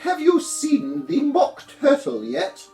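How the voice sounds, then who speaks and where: Guy doing a bossy bad tempered queen from a storybook, super high shrieky voice, yelling orders real slow. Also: huge pitch swings, roomy sound, faint music behind, heavily acted. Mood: imperious. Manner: shouting, imperious commands. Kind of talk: storybook character voice.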